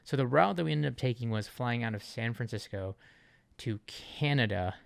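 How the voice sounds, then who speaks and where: Man, from Japan, casual vlog host, creaky fry, close dry sound, conversational. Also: medium pitch, lively intonation, normal speed, no room sound. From Japan, Okinawa. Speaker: vlogger, channel host. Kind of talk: casual vlog introduction talking to viewers.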